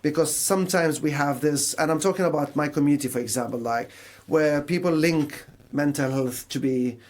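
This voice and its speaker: A man with a Syrian Arabic accent talks as a radio interview guest. His voice sits a little high. He explains things conversationally, acting out short imagined quotes to make his points. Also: moderate pace. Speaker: mental health charity service manager, radio guest.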